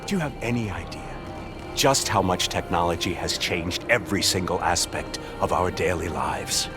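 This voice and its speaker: An actor playing a defiant, self-righteous security official in a video game, lecturing emphatically and with contempt. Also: male voice, low-pitched, normal speed, lightly acted. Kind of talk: dramatic video game acting. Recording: clear music behind the voice.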